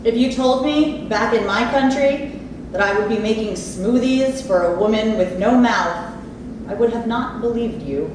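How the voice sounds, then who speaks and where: A haughty immigrant maid fallen from wealth played by a woman declaims with indignation, as in a theatre monologue. The voice is a bit high, picked up from far off in a very reverberant room with noticeable noise. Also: unhurried, lightly acted. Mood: indignant, wistful. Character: haughty, put-upon immigrant maid, once rich, now lowly. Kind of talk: theatrical monologue at a staged play reading. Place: indoor theatre space, distant microphone. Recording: echoey, noisy room from far off.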